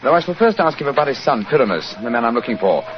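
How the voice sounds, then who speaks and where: An actor playing a self-assured, courteous English gentleman detective in 1940s radio drama, formal, with an upper-class accent. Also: mid-range voice, sing-song, racing, noisy background, close to an everyday voice. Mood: easygoing, assured. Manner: crisp, formal, politely probing. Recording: muffled 1940s radio recording.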